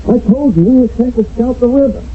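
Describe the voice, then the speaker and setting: An actor playing a blunt, protesting American soldier in a radio drama. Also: male voice, extremely high pitch, a little fast. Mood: agitated. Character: exasperated American army soldier on trial, outspoken and desperate. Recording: tinny old radio broadcast.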